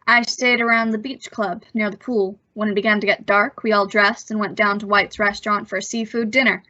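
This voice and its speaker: A woman's voice: medium pitch, fairly even intonation, fairly quick, pushed and bright, natural voice. A woman playing a nervous, evasive young man with a hesitant manner in an old-time radio suspense drama. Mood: recounting. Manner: hesitant, stammering under pressure. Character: nervous young man, evasive impostor telling his story. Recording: dull, lo-fi audio.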